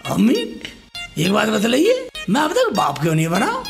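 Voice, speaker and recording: Man playing a melodramatic dim-witted son, gravelly, swooping. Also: sits high, wildly swooping pitch, moderate pace, faint music behind, heavily acted. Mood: naive, complaining. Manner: exaggerated loud wailing and naive questioning. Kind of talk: broad comedy skit acting.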